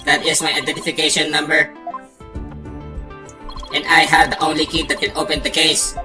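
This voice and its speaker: A woman's voice: deep voice, level tone, normal speed, roomy sound, recorded from a distance, close to an everyday voice. A woman voicing a smug, condescending male bomb disposal expert, pompous and precise, in an amateur game dub. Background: game music.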